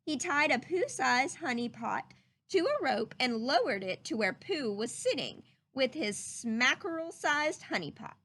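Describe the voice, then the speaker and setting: A woman reading a story aloud to children like a teacher, with expressive, dramatic emphasis. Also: sits high, measured pace.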